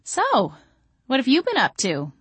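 A woman's voice: mid-range voice, lively intonation, normal speed, no room sound, natural voice. Woman voicing a wry, exasperated young vampire woman. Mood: cheerful. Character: sarcastic, exasperated young female vampire bookshop owner.

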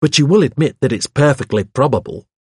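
A man voicing a nervous, obstinate man in an audiobook, with a shrill, fidgety and insistent delivery. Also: expressive, a little fast, darkish tone, husky, no echo, studio quality. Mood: pressing. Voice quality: shrill when agitated. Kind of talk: audiobook character voice.

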